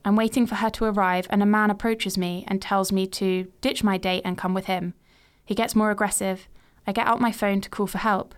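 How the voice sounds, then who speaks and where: A woman in her late twenties, from England, hosting a podcast and reading a personal story in a measured, reflective way. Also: mid-range voice, fairly even intonation, clear, resonant tone. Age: 20s. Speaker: podcast host.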